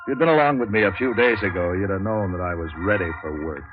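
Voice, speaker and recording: An actor playing a cynical, wisecracking waterfront hustler, narrating a 1940s hard-boiled radio drama in a deadpan, sardonic way. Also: male voice, sits low, fast talker, close to an everyday voice. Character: cynical, wisecracking male waterfront boat renter for hire. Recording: tinny 1940s radio recording, faint music.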